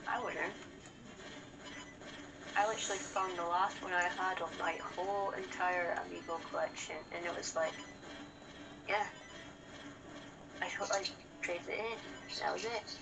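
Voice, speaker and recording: Female gaming co-host, low gravelly voice, blunt casual talk, video game music underneath. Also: fairly even intonation, a little slow, pauses often, poor audio. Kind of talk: casual chat over gameplay.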